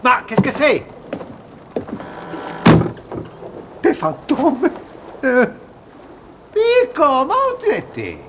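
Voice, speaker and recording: Swoopy, theatrical cartoon sea captain. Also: male voice, very high-pitched, huge pitch swings, takes it slowly, very noisy, full character voice. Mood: startled. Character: dreamy, excitable male sea captain explorer. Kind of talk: French cartoon dub acting.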